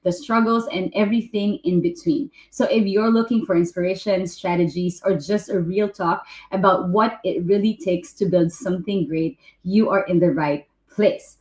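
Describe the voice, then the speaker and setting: A woman with a Filipino accent hosts an online interview. Her voice sits a little low for a woman, and the room around her rings with clear echo. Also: fairly even intonation, moderate pace, few pauses, a little mellow. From Philippines. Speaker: host. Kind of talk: hosting a live online interview. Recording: echoey room.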